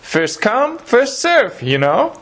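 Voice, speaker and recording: A polite, mild-mannered butcher, voiced by a man in a horror radio play. He speaks earnestly and courteously to his customers, with lively, swinging intonation. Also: extremely high pitch, takes it slowly, exaggerated voice. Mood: apologetic. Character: mild, honest small-town butcher, apologetic and polite. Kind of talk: audio drama dialogue.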